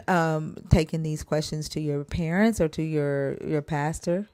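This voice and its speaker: A woman hosting a live stream Q&A, talking in a halting way with many fillers and restarts. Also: low-pitched, fairly even intonation, a little mellow, dry recording.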